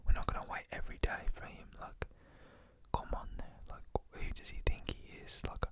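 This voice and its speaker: A young British man whispers a casual, slangy, rambling story in an ASMR style. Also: normal speed.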